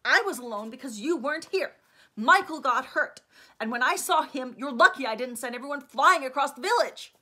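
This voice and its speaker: A fiery young heroine voiced by a woman reading aloud, defiant and emotional. Her voice is bright and ringing, and she argues back hard. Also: sits high, animated, normal speed, pitched above the performer's own voice, heavily acted. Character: headstrong young woman with hidden powers.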